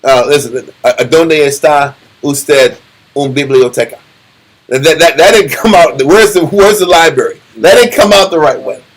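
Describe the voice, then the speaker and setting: A middle-aged man leading a Bible study, teaching in an animated, preachy way and often repeating himself. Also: on the high side, moderate pace, rough recording.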